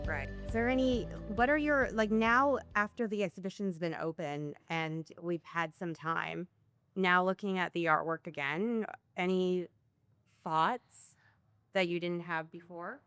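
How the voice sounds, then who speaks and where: A woman's voice: fairly low pitch, unhurried, fairly bright tone, smooth, faint music behind. A woman interviewing an artist, asking questions in a conversational way.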